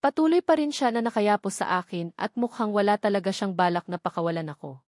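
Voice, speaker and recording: A woman's voice: medium pitch, quick pace, a little mellow, no echo, clean studio sound. A woman reading scripted first-person romance fiction as an audiobook narrator.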